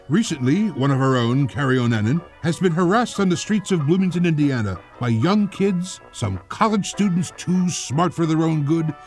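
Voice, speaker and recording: A man reading a mock-serious radio editorial in an announcer manner. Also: on the high side.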